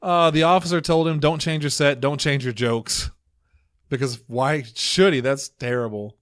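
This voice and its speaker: A man's voice: fairly high pitch, fairly even intonation, normal speed, no room sound, studio quality. Joking sarcastic male podcast host. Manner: joking, sarcastic, laughs often. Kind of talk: casual podcast chat.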